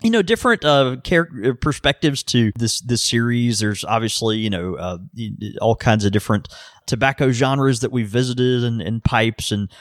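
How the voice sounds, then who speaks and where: A man's voice: mid-range voice, normal speed, no room sound, clean studio sound. A man from the Southern United States co-hosting a podcast, rambling with many fillers and restarts. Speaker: podcast co-host. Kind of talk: casual podcast banter.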